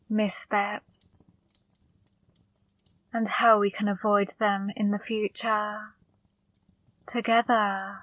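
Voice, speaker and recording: A woman plays a flirty female demon in an intimate ASMR roleplay, speaking extremely slowly and close to the mic. Her delivery is even in pitch, coaxing and softly commanding, with a fond, possessive edge. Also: no room sound. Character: flirty female demon, seductive, controlling, fondly scolding. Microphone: close microphone.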